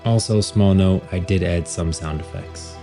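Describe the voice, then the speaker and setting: A man's voice: low voice, measured pace, vocal fry. A man reading scripted storybook narration as the narrator of an audio drama. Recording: music under the voice. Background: background music.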